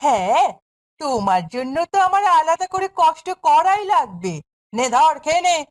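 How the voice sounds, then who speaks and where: Shrill, cruel scolding stepmother, acted by a woman. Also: big pitch swings, moderate pace. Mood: sneering. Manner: harsh, scolding, sneering. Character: cruel, scolding, domineering stepmother in a fairy tale. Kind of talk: fairy-tale cartoon voice acting.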